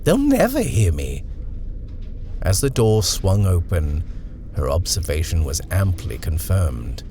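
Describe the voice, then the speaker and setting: A man narrating a horror short story in an expressive, suspenseful reading style. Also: low-pitched, gravelly, background noise.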